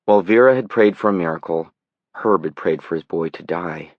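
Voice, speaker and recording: Deep-voiced male audiobook narrator. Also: fairly quick, dry recording, phone-quality sound. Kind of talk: scripted dramatic fiction narration.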